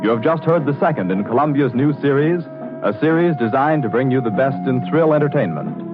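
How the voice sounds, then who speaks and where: A man announcing in scripted 1940s radio style with dramatic, measured delivery. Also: medium pitch, expressive. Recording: tinny 1940s radio broadcast. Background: loud orchestral music.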